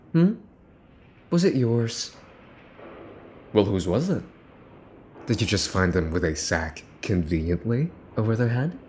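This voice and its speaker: Man acting a low, slow, sarcastic young mafia heir. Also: a little mellow, exaggerated voice. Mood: scolding, sarcastic. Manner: theatrical, sarcastic, deliberate repetition, sighing. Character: possessive mafia boss's son, exasperated, mockingly polite, menacing. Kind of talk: ASMR boyfriend roleplay acting. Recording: roomy echo, faint background noise.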